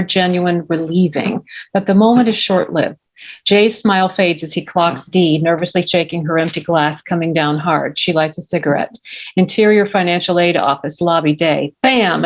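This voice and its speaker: Woman reading stage directions for a script, lowish voice, kinda muffled lofi recording. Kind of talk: scripted narration at table read. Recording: dull, lo-fi audio.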